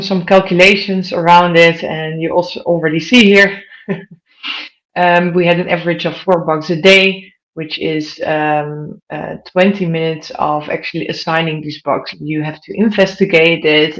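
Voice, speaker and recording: A woman's voice: fairly low pitch, fairly even intonation, unhurried, a bit bright. A woman in her thirties from the Netherlands with a Dutch accent, giving an online conference presentation and putting questions directly to her audience. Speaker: conference speaker.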